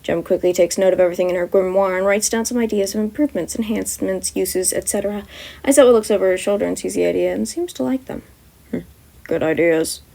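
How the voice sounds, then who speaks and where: A woman's voice: medium pitch, fast, gravelly, mellow. A young woman reading her own fan fiction aloud. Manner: rambling, self-correcting, upbeat. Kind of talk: podfic narration and casual chat.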